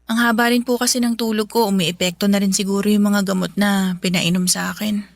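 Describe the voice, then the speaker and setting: A woman's voice: medium pitch, fairly even intonation, fairly quick, creaky, dry recording, natural voice. A woman playing a polite, anxious, ailing young office worker in a Filipino radio drama, with a Filipino accent. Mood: weak, puzzled. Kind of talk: Tagalog radio drama acting.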